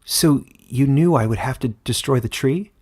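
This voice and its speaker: A man's voice: mid-range voice, normal speed, a little mellow, pitched above the performer's own voice, close to an everyday voice. A man voicing an earnest, humble boy hero in a fantasy audiobook, speaking in a hesitant, sincere way.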